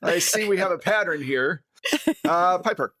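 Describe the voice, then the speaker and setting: A man's voice: high-pitched, expressive, moderate pace, pushed and bright, rough recording. A man speaking as game master and host, casually recapping a roleplaying story with playful sarcasm.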